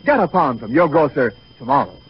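A man's voice: medium pitch, animated, brisk. An American man announcing a scripted commercial in 1930s radio style. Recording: muffled 1930s radio recording.

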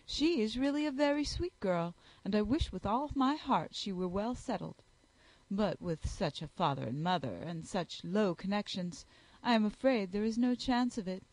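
A woman's voice: medium pitch, expressive, normal speed, darkish tone, no room sound, low-quality recording. A woman reading aloud, voicing a gossipy, snobbish married lady with a prim, disdainful manner.

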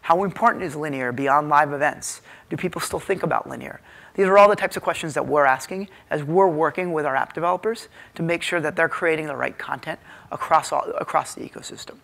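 Man, thirties, American accent, fairly high voice, informal halting conference presenter, lapel mic. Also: creaky, a bit bright. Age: 30s. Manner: halting, filler-heavy, informal delivery. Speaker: keynote speaker, tech executive. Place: hotel conference ballroom stage, indoors.

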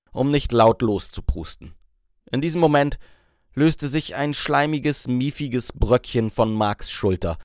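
A man reads a comic novel aloud. His voice sits at a medium pitch for a man. The sound is very dry, with scarcely any room on it, and the delivery is that of scripted audiobook narration. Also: no echo.

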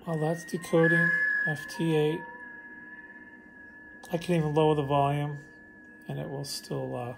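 A man's voice: a little high, flat delivery, moderate pace, creaky, long pauses, music in the background. A man giving a casual hands-on demonstration of a gadget as a hobbyist. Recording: noisy, radio static in room. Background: shortwave radio static and chatter.

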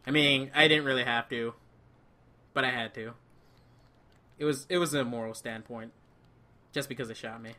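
A man's voice: medium pitch, steady tone, moderate pace, pauses often, bright, forceful tone. A man chatting casually and jokingly as he plays a co-op video game. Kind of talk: casual co-op gameplay chat.

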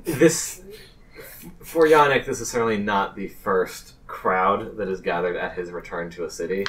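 A man narrating a tabletop game as game master, in a halting way with amused asides. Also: sits low, fairly bright tone. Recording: slightly distant mic, faint noise.

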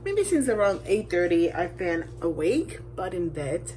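A woman's voice: lowish voice, sing-song, unhurried, a little mellow, slight background noise, rough recording. A woman in her thirties chatting casually to camera in a personal vlog. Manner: switches between English and Spanish. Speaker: vlogger. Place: parked or moving car, small home rooms.

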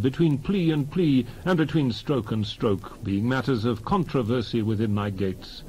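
A man giving a dramatized reading of scripture in a solemn, deliberate manner, phrase by phrase with pauses. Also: mid-range voice, slight background noise, low-quality recording. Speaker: Bible reader.

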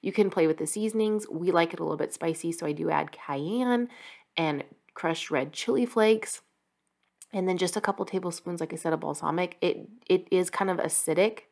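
A woman's voice: on the low side, normal speed, gravelly, darkish tone. A young adult woman chatting casually over a home cooking vlog, upbeat and full of filler words. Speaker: vlogger. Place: home kitchen.

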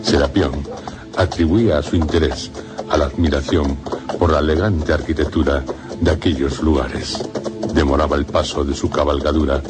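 A man with a Castilian Spanish accent narrating a literary radio drama in solemn, measured phrases. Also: very deep voice, steady tone. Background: music.

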